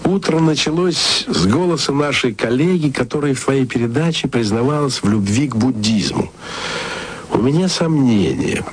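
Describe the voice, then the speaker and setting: A man's voice: mid-range voice, expressive, moderate pace, breathy edge. A man narrating a radio play as tender, musing letters spoken to a loved one. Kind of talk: intimate radio-play monologue.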